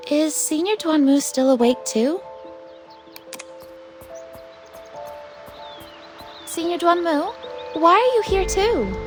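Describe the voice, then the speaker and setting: High-voiced earnest young female disciple. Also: lively intonation, measured pace, music in the background. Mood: frank. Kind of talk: dubbed fantasy game cutscene acting.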